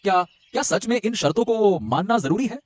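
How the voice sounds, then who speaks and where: An earnest young groom voiced by a man, high-pitched and very expressive. He sounds respectful yet concerned, in cartoon-story style over music. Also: a little fast. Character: earnest, dutiful young groom-to-be from rich family. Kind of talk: Hindi cartoon story dialogue.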